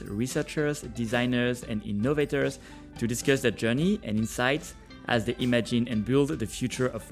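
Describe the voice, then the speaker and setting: A male podcast host with a mid-range voice, speaking in a scripted, presentational interview style. Soft music with guitar plays faintly behind him. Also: medium pitch.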